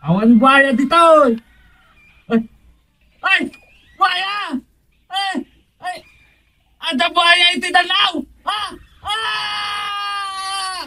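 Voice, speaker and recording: An actor with an Ilocano accent playing a panicky, shrill soldier in a radio comedy, yelping and exclaiming. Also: male voice, drawn-out words, pauses often, sharp tone, raised voice, exaggerated voice, rough recording. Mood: terrified. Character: panicky, shrill male soldier terrified of crocodiles.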